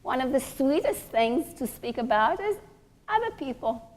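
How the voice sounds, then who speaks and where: Woman, higher-pitched voice, religious teacher, storytelling lecture, deliberate, repeats key phrases. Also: sing-song, a little mellow. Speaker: religious teacher giving a class for women. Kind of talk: sermon-like lecture told through stories.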